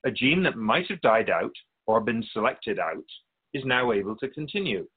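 A man playing a wise, patient otherworldly spirit in a radio drama, explaining things in a measured, gently pedantic way with a husky voice. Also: medium pitch, animated, phone-quality sound. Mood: explanatory, measured. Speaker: spirit being interviewed. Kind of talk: scripted radio drama dialogue.

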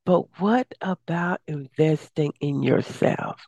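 A woman hosting a radio show, her voice raspy and hoarse from days of talking, chatty and upbeat. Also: slow pace, dark tone, dry recording. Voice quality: raspy, deeper than usual. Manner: chatty, upbeat, promotional, with many uh fillers. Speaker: radio show host and success coach. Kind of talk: casual radio talk.

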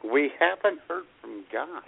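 A man co-hosting an internet radio show in casual comic banter, with a husky voice. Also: fairly high pitch, lively intonation, unhurried. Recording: narrow phone-like radio sound.

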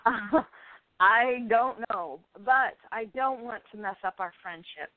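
On a thin, phone-like, dry recording, a woman acts a wry, shy female lead. She speaks slowly and hesitantly, in radio play style. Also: a little high, no room sound. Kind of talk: amateur radio play acting.

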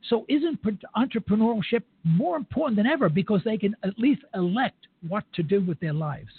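A man's voice: high voice, lively intonation, normal speed, breathy edge. An older man interviewing a guest in an opinionated, rambling way, chuckling as he goes. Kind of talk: conversational interview. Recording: tinny phone line.

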